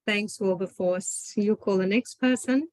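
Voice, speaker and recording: Brief: woman moderator, brisk and friendly, dry close sound, video call. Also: mid-range voice, darkish tone, dry recording, poor audio. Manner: brisk, friendly, calls on people. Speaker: meeting moderator. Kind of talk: moderating an online session.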